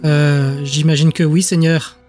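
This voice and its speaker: A man's voice: on the high side, level tone, a little slow, a little mellow, soft background music, natural voice. A man voicing a formal, deferential female subordinate who reports to her master in an audio drama. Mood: uneasy, deferential.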